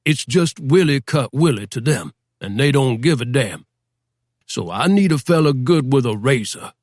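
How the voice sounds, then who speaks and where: A man voicing a shrewd older boss who speaks in a slow, folksy drawl and plays at deference. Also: mid-range voice, lively intonation, no room sound, studio quality, natural voice. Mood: sly. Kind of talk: audiobook character voice.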